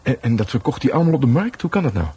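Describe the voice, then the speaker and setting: An actor playing a polite, inquisitive police detective in a radio drama, questioning tactfully in a Dutch accent. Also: male voice, medium pitch, big pitch swings, rapid-fire, dark tone, husky. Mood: curious, probing. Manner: probing, hesitant questioning.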